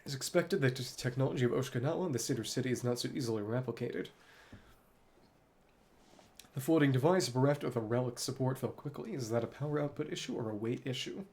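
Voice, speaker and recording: A man's voice: mid-range voice, fast talker, a little mellow, natural voice. A man voicing an obsessive, defiant game inventor, reading log entries in lofty, formal language. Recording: clear background game noise.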